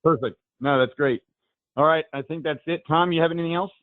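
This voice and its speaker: A man hosting a video call, giving brisk and direct instructions. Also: fairly high pitch, no echo. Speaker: call host. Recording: dry tinny phone-like line.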